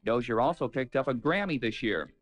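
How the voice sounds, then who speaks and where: A man's voice: medium pitch, lively intonation, fairly quick. A man reading scripted entertainment news on the radio, with chatty asides.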